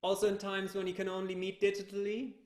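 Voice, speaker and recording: A man with a German accent hosts a panel over a video call. His voice sits a little high for a man and rings in an echoey room. He phrases things courteously, if haltingly. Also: completely flat delivery, a little mellow. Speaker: youth researcher hosting an online panel. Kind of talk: moderating a group video talk.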